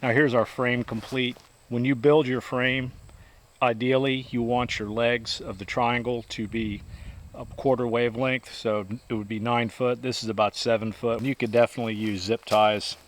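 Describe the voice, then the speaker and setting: A man calmly explaining a hands-on build step by step, in a practical, methodical way. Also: mid-range voice, moderate pace. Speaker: instructor. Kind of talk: step-by-step how-to instruction.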